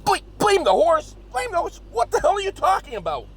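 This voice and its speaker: A man acting expressive, exasperated everyday characters in a scripted comedy audio drama. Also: very high voice, brisk, slight background noise, exaggerated voice. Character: excitable, exasperated men: hillbilly and pizzeria owner brother.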